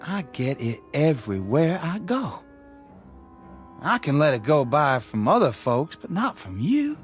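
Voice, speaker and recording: A man's voice: on the high side, wildly swooping pitch, a little slow, soft background music. A man playing a folksy, easily wounded young suitor with a rural American accent in a radio drama. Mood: hurt, insistent. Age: young adult.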